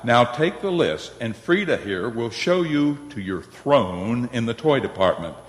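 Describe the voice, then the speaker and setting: A man's voice: medium pitch, very animated, unhurried. A man playing an effusive, officious department store manager in an old-time radio play. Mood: brisk, officious. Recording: echoey reverberant hall.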